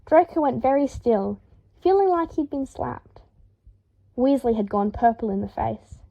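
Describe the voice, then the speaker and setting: High-voiced woman reading a story. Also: animated, unhurried, vocal fry, warm tone. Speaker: fan fiction audiobook reader. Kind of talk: expressive scripted narration. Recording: dull lo-fi home recording.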